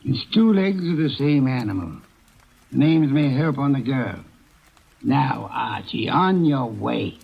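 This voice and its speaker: An actor playing a shrewd, heavyset older private detective in a radio drama, speaking formally and precisely with dry, imperious sarcasm. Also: male voice, medium pitch, lively intonation, slow, creaky, a little hiss or hum. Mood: commanding. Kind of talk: old-time radio drama acting. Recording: dull, muffled old radio recording.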